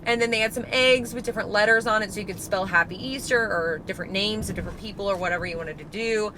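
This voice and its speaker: A woman chatting casually through a shopping haul vlog, rambling and correcting herself, a little hoarse. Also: mid-range voice, fast, noisy background. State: slightly hoarse from allergies. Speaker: vlogger.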